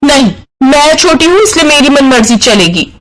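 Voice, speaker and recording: A man puts on a young Indian daughter-in-law for a cartoon. The voice is very high and swings about dramatically, with the sharp tongue of a born squabbler. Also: big pitch swings, moderate pace, heavily acted, poor audio. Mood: defiant, bickering. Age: young adult. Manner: bickering, dramatic. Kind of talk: Hindi animated moral-story acting.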